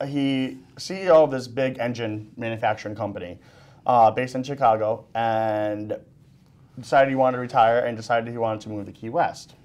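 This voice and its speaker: A man in his thirties hosts a relaxed business talk show from a studio desk. His voice sits at an ordinary pitch. He keeps things loose and throws in jokes. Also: normal speed. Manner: jokey, easygoing. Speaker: host. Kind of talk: casual panel chat.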